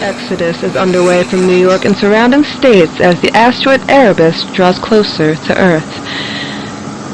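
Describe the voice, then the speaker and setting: A woman's voice: medium pitch, natural voice. Woman acting a serious news reporter, noisy broadcast. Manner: formal, serious. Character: urgent, formal news reporter on doomsday crisis.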